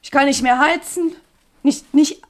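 Anxious lonely woman, higher voice. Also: fairly even intonation. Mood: agitated complaining. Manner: agitated, repeats words, halting. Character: anxious lonely woman living alone in a rundown flat. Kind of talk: German radio play acting.

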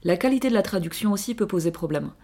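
A woman reading a scripted radio column, measured and explanatory. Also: mid-range voice, animated, dark tone.

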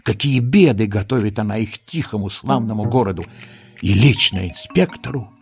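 A man playing the storyteller of a children's audio play, giving expressive, dramatic scripted narration. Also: on the low side, moderate pace, music in the background. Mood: storytelling.

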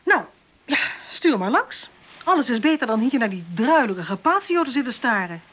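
A woman's voice: on the high side, big pitch swings, moderate pace, fairly bright tone, breathy edge, exaggerated voice. A woman playing a world-weary, ironic and brusque police inspector with cultured airs in a Dutch radio play. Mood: brisk, sardonic.

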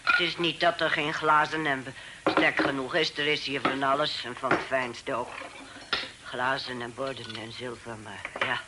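An actor playing a chatty, grumbling household servant in a period radio play, rambling in colloquial speech. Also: male voice, medium pitch, flat delivery, moderate pace, natural voice. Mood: chatty, apologetic. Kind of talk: period radio drama acting. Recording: very noisy old radio play, clattering dishes.

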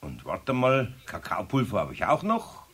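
Casting: older Bavarian craftsman for children's radio play, husky, very animated, grumbly yet kind. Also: fairly low pitch, normal speed, exaggerated voice. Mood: kindly. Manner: grumbling, colloquial, expressive. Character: grumbly but kindly older male master craftsman.

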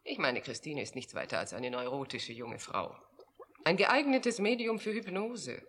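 Dismissive female researcher, radio drama; low-pitched, lively intonation, cool and assured. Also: normal speed, a little hiss or hum. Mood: cool, explaining. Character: proud, defensive, coolly dismissive female scientist. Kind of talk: 1980 German radio drama acting.

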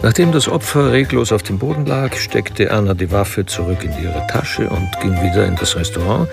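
Sober male storyteller, radio crime drama. Very gravelly, lowish, dryly wry, music behind. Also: normal speed. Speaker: narrator. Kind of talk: scripted radio drama narration.

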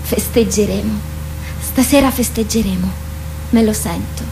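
An actress in a radio drama playing a boastful, impatient teenage warrior girl, bossy and excitable. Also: mid-range voice, a little slow, creaky, noisy background. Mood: boastful, excited.

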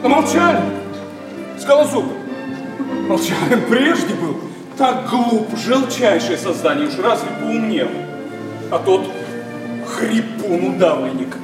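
Lovesick, biting young aristocrat; high voice, impassioned verse declamation, hall echo. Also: male voice, lively intonation, slow, long pauses. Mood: scornful, agitated. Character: sharp-tongued, lovesick young nobleman, passionate and mocking. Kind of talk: classic Russian stage verse, radio play acting. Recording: echoey hall, distant, music behind.

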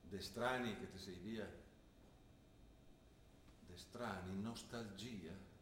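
A gruff, grumbling old father with a thick Trentino dialect, blunt and fussy as he visits his grown daughter. His voice carries through a roomy stage space from some way off the mic. A faint hiss sits under the whole recording. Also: on the low side, takes it slowly, a bit bright. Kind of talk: stage play performance. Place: theatre stage. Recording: echoey stage sound from a distance with faint noise.

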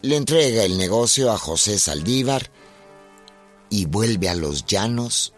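A man's voice: lowish voice, sing-song, measured pace. A man narrating a biographical radio drama as a grave storyteller, reading from a script. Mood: measured, serious. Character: grave storyteller chronicling a revolutionary's life. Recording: music behind the voice.

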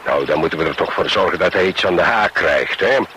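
An actor in a radio play voicing a folksy, evasive fishing warden, husky, hesitant and rambling, eager to please. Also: male voice, low-pitched, fast talker, pushed and bright, a little hiss or hum. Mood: cheerful, eager. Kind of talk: radio drama, dialogue and chatty monologue.

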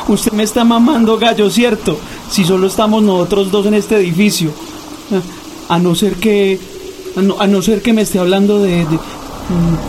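A man's voice: high voice, steady tone, normal speed, darkish tone, natural voice, rough recording. A timid, stammering Colombian night doorman, acted by a man in a radio drama. He repeats his words, with faint noise in the background. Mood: incredulous.

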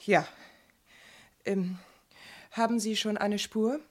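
A frightened, respectable lady in an old radio crime drama. Her voice is medium in pitch, and she speaks hesitantly, with a weary, anxious manner. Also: steady tone, slow. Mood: weary, guarded. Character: shaken, fearful respectable woman fleeing a murder house. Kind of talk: German radio crime play acting.

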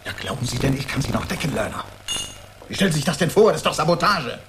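A man's voice: a little high, big pitch swings, fast. A man acting in a German radio play as an impatient, sarcastic boss who badgers in a brusque, blustering way. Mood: angry. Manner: brusque, badgering, tacks "was?" onto sentences. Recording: noisy old radio production.

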